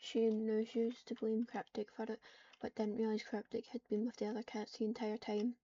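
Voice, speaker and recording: Muffled, husky woman reading roleplay aloud. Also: a little high, little pitch movement, mellow. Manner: halting, stumbling over words while reading. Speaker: online roleplayer reading out the story. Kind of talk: improvised read-aloud roleplay narration. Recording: dull, muffled lo-fi microphone.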